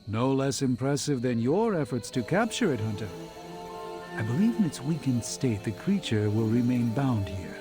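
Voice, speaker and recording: Actor playing a formal, learned sorcerer doctor. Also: male voice, mid-range voice, very animated, natural voice. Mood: composed. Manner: formal, precise, deliberate phrasing. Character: formal, learned male sorcerer doctor, measured and self-assured. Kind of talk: video game cutscene acting. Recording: loud game music behind.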